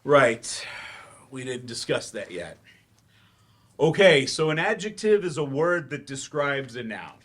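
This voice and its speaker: A man acting in a staged play reading as a patient, slightly awkward English teacher who explains in measured steps with hesitant asides. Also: mid-range voice, sing-song, a bit bright. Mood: instructive.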